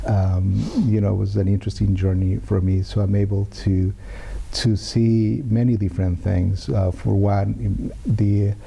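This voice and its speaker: Gravelly low-voiced man from Ecuador. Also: little pitch movement, mellow, rough recording. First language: Spanish. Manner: measured, hesitant, many fillers. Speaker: city community director, guest. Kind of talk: interview conversation.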